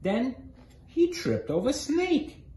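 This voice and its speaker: A man in his thirties reading a children's story aloud in an expressive, deliberate way in a living room. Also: very high-pitched. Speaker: guest storybook reader. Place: small indoor living room. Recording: echoey living room, faint background noise.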